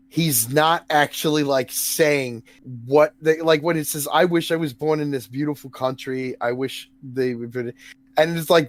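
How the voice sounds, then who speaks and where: Rambling New Zealand podcast host. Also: male voice, a little high. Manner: rambling, many fillers and restarts, laughs at what he describes. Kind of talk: casual podcast chat.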